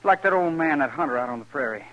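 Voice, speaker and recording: An actor playing a troubled, defensive young drifter in a 1950s radio western, halting and plain-spoken with a rural American drawl. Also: male voice, fairly high pitch, animated, a bit bright, muffled recording. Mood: sombre, yielding.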